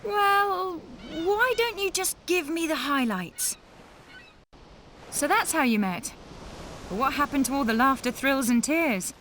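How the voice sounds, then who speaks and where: A woman playing a feisty, sharp-tongued young mage in a video game, confident, sassy and direct. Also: high voice, lively intonation, measured pace, a little hiss or hum, full character voice. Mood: curious, breezy. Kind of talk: animated video game voice acting.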